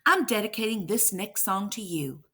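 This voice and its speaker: A woman's voice: medium pitch, animated, moderate pace, bright, forceful tone, breathy edge. A woman voicing an enthusiastic, boastful dad who talks in playful old-school slang, in a storybook reading. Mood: playful, emphatic. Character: jazz-loving dad, hip, boastful, enthusiastic older-generation man.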